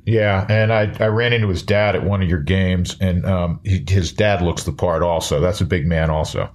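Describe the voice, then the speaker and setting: A man's voice: sits low, fairly even intonation, normal speed. A man interviewing casually about sports, working his way to the point in rambling, roundabout sentences.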